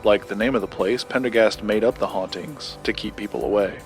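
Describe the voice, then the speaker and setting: Guarded male occult researcher, played by an actor, lowish voice, formal and precise, audio drama with music underneath. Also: natural voice. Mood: measured, reserved. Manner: formal, precise, measured wording. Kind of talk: scripted audio drama dialogue.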